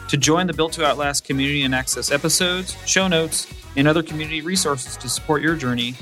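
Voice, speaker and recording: A man's voice: on the high side, bright, forceful tone. A man hosting a business podcast, reading a formal scripted introduction and asking questions. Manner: polished, formal. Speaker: podcast host.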